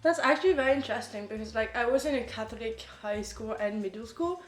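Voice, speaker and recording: A woman's voice: on the high side, animated, fast talker, roomy sound, soft background music. A woman from France hosting a podcast, reading a scripted welcome and then chatting casually with guests. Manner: reads a bright scripted welcome, then asks questions with many "like"s. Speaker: podcast host.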